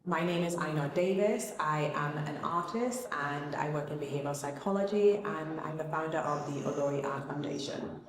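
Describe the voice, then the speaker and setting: Echoey, low-pitched British woman. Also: normal speed, a crowd in the background. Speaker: artist and foundation founder being interviewed. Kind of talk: unscripted documentary interview. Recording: very echoey hall, faint noise.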